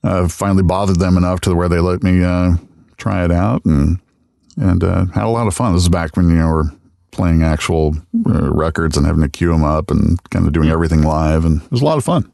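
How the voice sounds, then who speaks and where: A middle-aged man, a radio voice talent, chatting casually in an interview, relaxed and self-deprecating, with many fillers. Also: low-pitched, brisk, gravelly, no echo. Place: podcast studio.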